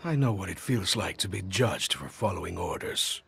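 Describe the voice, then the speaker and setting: Stoic professional killer, heavily husky, formal courtesy, deadpan wording yet mobile pitch, game cutscene. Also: male voice, moderate pace, heavily acted. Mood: reserved, wary. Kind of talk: video game cutscene acting.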